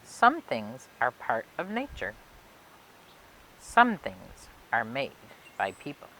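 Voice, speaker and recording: A woman reading a children's picture book aloud for a library storytime, expressively and phrase by phrase with emphatic pauses. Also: a bass voice, wildly swooping pitch, a bit bright, poor audio. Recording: clear background noise and hiss.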